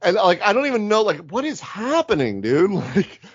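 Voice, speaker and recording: A man's voice: high-pitched, huge pitch swings, moderate pace, breathy edge, highs cut off. A man chatting casually as a podcast co-host about tabletop games, informal and slangy, often saying dude and right.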